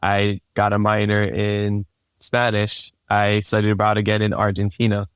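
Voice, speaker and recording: A man's voice: fairly low pitch, normal speed, clear, resonant tone, dry recording. A young man from Hawaii whose first language is Hawaiian, casually telling his life story as a podcast guest with a laid-back manner. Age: young adult. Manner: laid-back, relaxed, repeats words when starting sentences.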